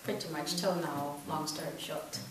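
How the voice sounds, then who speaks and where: A woman's voice: low voice, steady tone, normal speed. A woman singer talking candidly and a bit hesitantly in an interview. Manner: hesitant, candid about nerves. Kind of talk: casual interview. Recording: distant, very echoey, noisy room.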